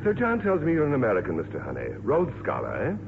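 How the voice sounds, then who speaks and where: An actor playing a dry, curious aircraft official in a 1950s radio drama, with a polite, probing manner. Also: male voice, mid-range voice, wildly swooping pitch, quick pace. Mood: wry curiosity. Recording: muffled, noisy 1950s radio recording.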